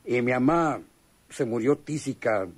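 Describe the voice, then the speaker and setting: An actor playing a chummy but bitter rural Mexican man from a poor family in a radio drama, talking in a halting, folksy way. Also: mid-range voice, crowd noise, close to an everyday voice. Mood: reminiscing, bitter. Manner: colloquial, halting, folksy storytelling. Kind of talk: radionovela acting.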